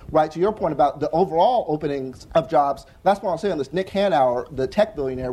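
A young adult man on a conference panel, talking earnestly and fast with frequent restarts and "right?" tags. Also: a little high, mellow, husky. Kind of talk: panel discussion answer. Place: conference hall with audience.

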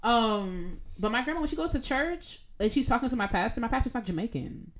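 A young adult woman chatting casually as a student co-host, telling stories in an animated, informal way with quoted asides. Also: fairly high pitch, brisk. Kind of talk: casual chat.

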